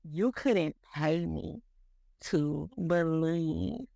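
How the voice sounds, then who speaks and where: A speaker in their thirties talking faith in a loose, rambling way on a podcast. The voice has a heavy gravelly fry throughout. It is recorded close and completely dry. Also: mid-range voice, animated, very slow, warm tone, no echo. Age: 30s. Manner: rambling, heavy use of "like" and "you know", repeats words. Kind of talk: casual faith talk.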